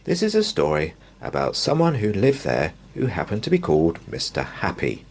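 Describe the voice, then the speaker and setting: Low-voiced man reading a bedtime story, very expressive. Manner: measured, drawn-out, expressive phrasing. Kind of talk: bedtime story read-aloud for young children.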